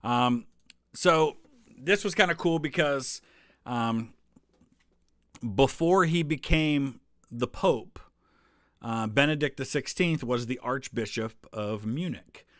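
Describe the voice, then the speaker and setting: A man's voice: medium pitch, slow pace, pauses often, dry recording, clean studio sound. A man hosting a live stream, chatting loosely through travel photos and viewer questions, with many ums and restarts. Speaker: history channel host answering live chat.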